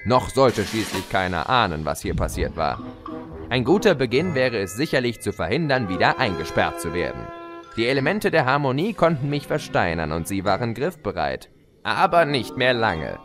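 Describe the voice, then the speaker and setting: A man's voice: lowish voice, sing-song, moderate pace. A man playing a gleeful, scheming chaos creature who narrates his escape in an audio drama, smug and playful. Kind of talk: theatrical first-person audio drama narration. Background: music.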